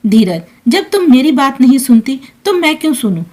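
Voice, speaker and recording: A woman's voice: high voice, very animated, moderate pace, a little mellow. A woman voicing a demanding, impatient grown son and husband in a family story, with a petulant manner. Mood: irritated.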